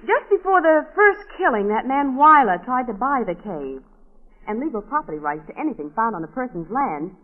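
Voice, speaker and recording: Inquisitive woman sleuth, high animated voice, eager and brisk, vintage radio drama. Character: sharp, inquisitive female sleuth's companion. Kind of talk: 1940s radio drama acting. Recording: tinny, band-limited old radio recording, long reverb.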